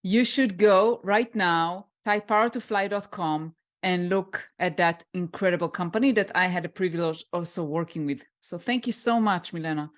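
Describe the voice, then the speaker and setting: A woman who hosts a podcast, introducing and thanking her guest graciously and asking her questions. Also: fairly low pitch. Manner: gracious, thankful, lavish praise. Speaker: podcast host. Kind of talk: podcast hosting and interviewing.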